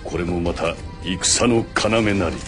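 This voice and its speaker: A man's voice: low voice, slow, a bit bright. A man voicing a stern, loyal samurai general in a game, with solemn, terse, archaic warrior speech. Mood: grave, resolute. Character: stern, stoic, mighty samurai general, loyal unto death. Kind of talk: dramatic Japanese game voice acting. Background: music.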